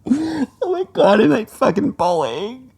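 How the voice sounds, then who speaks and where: A woman acts out a dramatic young Australian fashion student recording a confessional podcast. The voice sits low, with plenty of vocal fry. The delivery is gushing, slangy and full of self-absorbed melodrama. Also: normal speed, darkish tone. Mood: tearful, overwhelmed. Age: young adult. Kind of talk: confessional solo podcast monologue.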